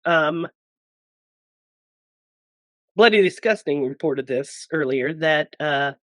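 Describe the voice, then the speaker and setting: Hoarse man hosting a podcast. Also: a little high, slow, dry recording. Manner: joking, laughs often. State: hoarse from a cold. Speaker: podcast host. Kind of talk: casual podcast chat.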